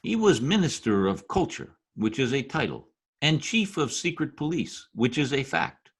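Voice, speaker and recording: A man acting as a scheming, politely menacing political climber in a radio drama, formal and insinuating, with a husky voice. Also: medium pitch, big pitch swings, moderate pace.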